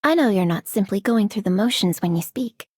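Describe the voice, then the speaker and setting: A woman's voice: medium pitch, animated, a little fast, a little mellow, slightly rough, no room sound, studio quality. A woman voicing a composed, dignified young game heroine. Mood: composed, dry. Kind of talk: game character voice acting.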